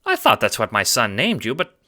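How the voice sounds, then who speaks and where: A man's voice: medium pitch, huge pitch swings, brisk, pushed and bright. A man voicing a kindly, chipper old grandmother in an audio drama, doting and full of old-fashioned endearments. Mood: gentle, hesitant.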